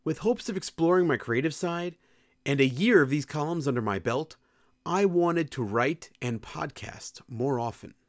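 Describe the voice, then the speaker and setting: A man's voice: on the high side, expressive, moderate pace, no room sound, clean studio sound. A young man reading his own personal column aloud in a candid, earnest and reflective way. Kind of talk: scripted personal essay narration.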